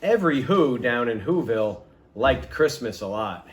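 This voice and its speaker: A man reading a children's storybook aloud in an expressive, emphatic, theatrical manner. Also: mid-range voice, normal speed.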